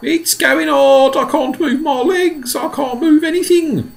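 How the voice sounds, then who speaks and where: A man voicing a frantic, boastful male centipede with a shrill, strained squeal in a storybook reading. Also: slow, gravelly, heavily acted. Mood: panicky. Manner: whining, panicky complaints.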